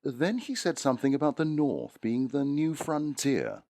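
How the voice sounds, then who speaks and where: A man's voice: medium pitch, big pitch swings, moderate pace, a little mellow, no room sound, studio quality. A man playing a helpful, hesitant Victorian silversmith in an audio drama.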